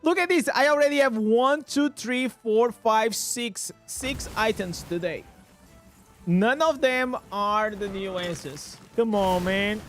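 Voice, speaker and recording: A man with a high voice for a man streams a game live, narrating his play in English. He bursts into excited reactions over loot and chats casually with his viewers. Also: slow, faint music behind. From Portugal. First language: Portuguese. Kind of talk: live gameplay commentary.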